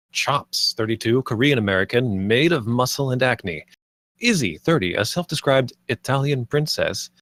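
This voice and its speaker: Low-ish male screenplay narrator, dry sound. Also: a bit bright, no echo. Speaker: stage directions narrator. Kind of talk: scripted narration at a screenplay table read.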